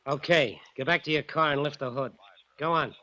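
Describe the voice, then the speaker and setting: A man's voice: fairly high pitch, brisk, natural voice. An actor playing a hard, bullying gunman with an American accent in 1940s radio drama, barking curt orders. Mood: curt menace. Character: hard, bullying male gunman holding captives. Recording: muffled, hissy old radio recording.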